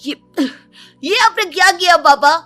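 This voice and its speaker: A woman's voice: extremely high pitch, lively intonation, measured pace, fairly bright tone, dry recording, soft background music, full character voice. A woman voicing a lazy, spoiled teenage son with a whining, flippant manner, in a cartoon story. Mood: sleepy, cheeky. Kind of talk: Hindi cartoon moral-story acting.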